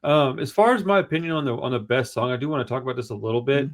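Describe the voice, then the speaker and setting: A man with a big, booming voice that he projects without shouting. He talks in a loose, slangy, rambling way, piling opinion on opinion as he co-hosts a record review show. His pitch sits in an everyday middle range. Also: very fast. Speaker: podcast co-host. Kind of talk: casual music review chat.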